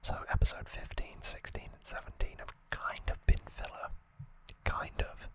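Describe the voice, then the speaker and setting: Softly whispering ASMR host. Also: normal speed. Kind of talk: whispered ASMR, recapping an anime story.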